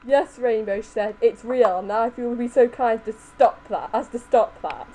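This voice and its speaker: Girl reading fanfic out loud amateur style, kinda high voice, faint noise. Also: normal speed. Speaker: reader in a group dramatic reading. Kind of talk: amateur dramatic reading of fan fiction. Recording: faintly noisy home voice-chat recording.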